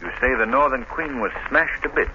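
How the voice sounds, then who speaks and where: An actor playing a polite, urbane, inquisitive gentleman investigator in a 1930s radio drama. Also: medium pitch, fairly quick. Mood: curious, probing. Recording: noisy, dull vintage 1930s radio recording.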